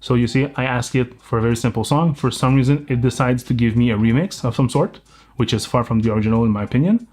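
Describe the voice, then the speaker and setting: A man's voice: mid-range voice, fast, darkish tone. A man with a French Canadian accent giving a casual tech review, explaining as he goes and sometimes restarting his sentences. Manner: explanatory, thinking aloud with small restarts.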